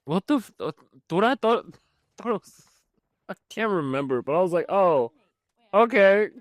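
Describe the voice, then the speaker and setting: A man's voice: high-pitched, lively intonation, normal speed, no echo. Sarcastic man chatting, very dry sound. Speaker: podcast co-host. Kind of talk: casual chat.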